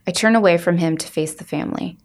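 A woman's voice: fairly low pitch, quick pace. A woman host reading a children's novel aloud for a library podcast, then chatting casually at the end. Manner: friendly, chatty outro.

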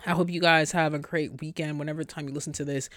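A young woman hosting a solo podcast in a casual, chatty way, blunt and profane, her voice jumping high when she gets excited. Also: fast, dry recording, studio quality. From New York area. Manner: profane, blunt asides to listeners. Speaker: podcast host.

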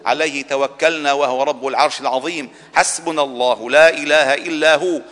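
A man's voice: on the high side, fairly bright tone. A man delivering a solemn sermon and supplication as a mosque preacher, with a formal, rhetorical cadence. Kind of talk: Arabic Friday sermon and supplication. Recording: long echoing reverb of a large hall.

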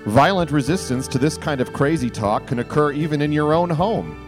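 A man's voice: fairly high pitch, animated, normal speed. Man narrating satirical radio over music. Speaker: radio show host. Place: live stage radio broadcast.

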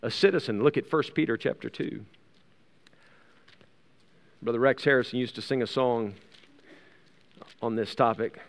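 American preacher in a reverberant hall. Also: male voice, mid-range voice, moderate pace, pauses often. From United States. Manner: conversational, rhetorical questions and asides. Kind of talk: sermon with scripture reading. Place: large reverberant room, preaching to a congregation.

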